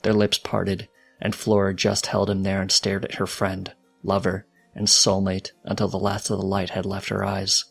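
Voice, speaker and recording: Male narrator, low voice, husky, creaky, solemn, dramatic storytelling, faint music underneath. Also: completely flat delivery, moderate pace, fairly bright tone. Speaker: fanfiction narrator.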